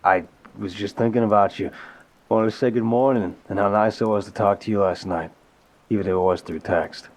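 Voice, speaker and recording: A man playing an apologetic, smitten mafia boss in an intimate audio roleplay, tender and wryly self-deprecating, with a husky voice. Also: lowish voice, steady tone, brisk, a little mellow, natural voice. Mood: fond, teasing. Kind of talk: intimate ASMR roleplay, conversational voicemail monologue.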